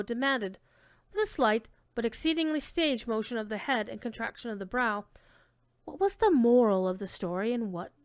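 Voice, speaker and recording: A woman reading old-fashioned literary prose aloud as a dramatic storyteller, with expressive, measured delivery. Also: on the high side, no room sound. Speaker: reader giving a dramatic reading.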